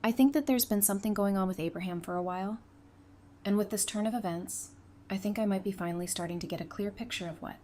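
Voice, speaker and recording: A woman's voice: mid-range voice, fast, warm tone, slight background noise, close to an everyday voice. An actress playing a candid, caring, perceptive woman who talks casually and frankly in a fan audio drama. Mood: figuring it out.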